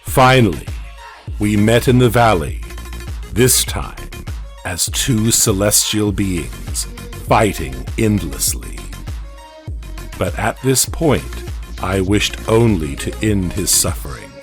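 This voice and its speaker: A man narrating a scripted fantasy story in a solemn, theatrical, deliberate manner. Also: fairly low pitch, expressive, faint music behind. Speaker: narrator of an audio comic.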